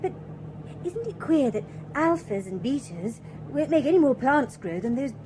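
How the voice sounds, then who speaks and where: A woman's voice: high voice, big pitch swings, moderate pace, a little mellow, slight background noise, poor audio. An actress playing a shallow, cheerful young woman in a radio drama, talking in a breezy, chattering way. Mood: chatty. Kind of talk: BBC radio drama acting.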